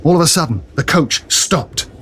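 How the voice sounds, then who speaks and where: A man's voice: a little high, expressive, normal speed, a bit bright, breathy edge. A man giving a dramatic scripted narration of a period adventure story. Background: music.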